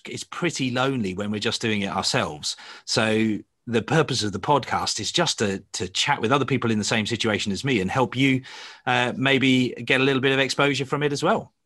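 Brief: male podcast host, bright tense tone, mid pitch, friendly and halting. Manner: friendly, stumbling restarts. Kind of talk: casual interview chat.

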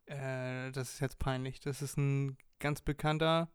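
A man's voice: mid-range voice, little pitch movement, unhurried, clear, resonant tone, no echo. A man co-hosting a casual podcast, explaining things and joking while guessing quiz answers. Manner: joking, plays along, guesses. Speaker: podcast co-host.

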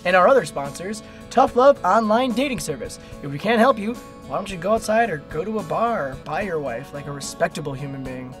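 Man as a high-pitched comic radio announcer. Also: animated, brisk, hardly any pauses, fairly bright tone. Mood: cheery, mocking. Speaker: sponsor announcer. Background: guitar music.

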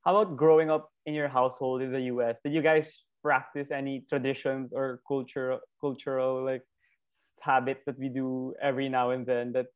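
A male host of middle pitch asks long, meandering questions in casual chat. His voice comes through thin and tinny, like over a phone line. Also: little pitch movement, moderate pace, clear, resonant tone. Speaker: podcast host.

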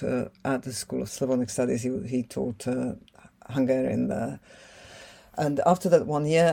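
A woman in her sixties whose first language is Slovak, answering interview questions in a thoughtful way with frequent pauses. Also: very deep voice, creaky, mellow. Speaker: interview guest, translator.

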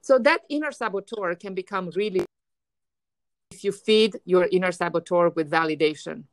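Woman host, Russian-sounding accent, emphatic and lively, dry close mic. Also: lowish voice, normal speed, no echo. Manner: energetic, emphatic, repeats words when starting. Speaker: podcast host. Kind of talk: casual conversation.

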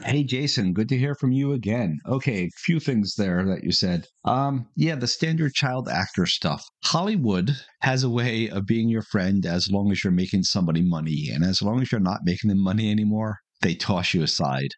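Low-voiced man hosting a podcast, dry sound. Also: lively intonation, normal speed, hardly any pauses, dry recording. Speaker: podcast host. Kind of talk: casual podcast talk responding to listener calls.

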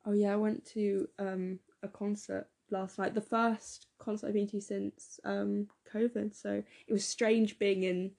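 A teenage girl, a bit hoarse, chatting enthusiastically as podcast co-host with lots of fillers. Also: mid-range voice, level tone, measured pace, hardly any pauses, dark tone. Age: teens. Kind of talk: casual podcast chat.

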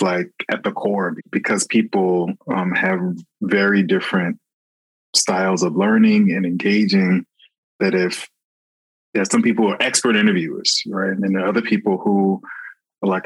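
A man from Florida, a recruiter, talking in an earnest, reflective way with many pauses and ums. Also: low-pitched, slightly rough, dry recording, poor audio. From Florida, USA. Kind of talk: casual interview talk.

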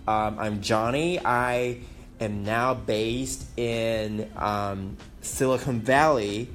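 Slow, hesitant man with a Taiwanese accent. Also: medium pitch, fairly even intonation, fairly bright tone. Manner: hesitant, many ums, self-conscious. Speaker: podcast host. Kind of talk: casual solo podcast talk. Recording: faint background noise.

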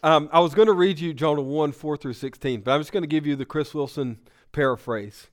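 Southern man preaching, smooth and slightly high. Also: brisk. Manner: conversational, joking retelling with rising intensity. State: sick. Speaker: pastor. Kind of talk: sermon.

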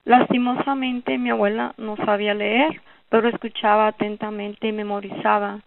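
A woman's voice: mid-range voice, level tone, moderate pace, vocal fry, dry recording. Guatemalan woman, sincere listener testimony, phone-quality sound from a distance. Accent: Guatemalan Spanish. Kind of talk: recorded personal testimony. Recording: tinny phone-like audio from far off.

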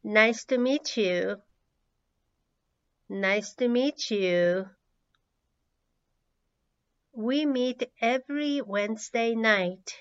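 A woman who is a native Japanese speaker, teaching English by reading out words and sentences with deliberate, measured enunciation for learners. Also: fairly high pitch, smooth. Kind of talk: language drill, reading words and sentences twice. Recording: slightly dull, lo-fi audio.

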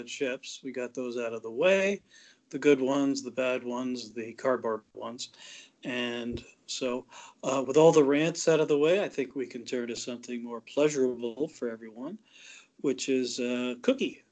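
A man talking in a measured, easygoing way in a casual chat, laughing easily and often pausing with fillers. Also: mid-range voice, a little mellow.

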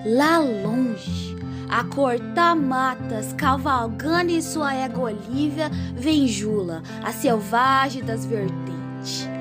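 Actress as wry rural Brazilian woman storyteller, fairly high voice, unhurried, theatrical, music behind. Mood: wry, dramatic. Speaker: narrator. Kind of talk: theatrical comic radio soap narration.

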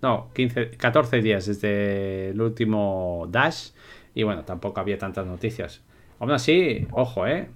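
A man's voice: on the low side, unhurried. A Spanish man hosting a livestream podcast, easygoing as he wraps up the show. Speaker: podcast host.

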